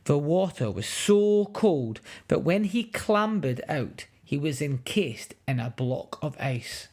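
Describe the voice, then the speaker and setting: A woman reading a picture book aloud to young children in an expressive, dramatic way. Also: sits low, slow pace. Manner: expressive, dramatic, with emphatic sound words.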